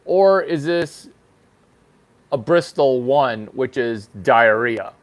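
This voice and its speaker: A man hosting his own channel, rambling through a crude comedy monologue in a mock-lecture manner with sudden jumps in pitch for emphasis. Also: slow pace. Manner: rambling, deadpan lecture-style bathroom humor. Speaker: channel host.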